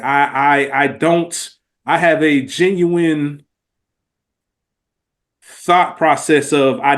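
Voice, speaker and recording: An American man speaking earnestly in a casual podcast discussion, repeating phrases for emphasis. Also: a little high. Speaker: podcast panelist.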